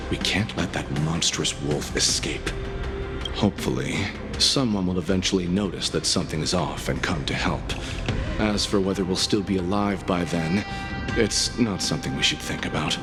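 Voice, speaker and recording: A man's voice: deep voice, moderate pace, over music. An actor playing a blunt, fatalistic male bodyguard in a video game. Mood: resolute. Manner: blunt, grimly resigned. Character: gruff, fatalistic male bodyguard, coolly pragmatic.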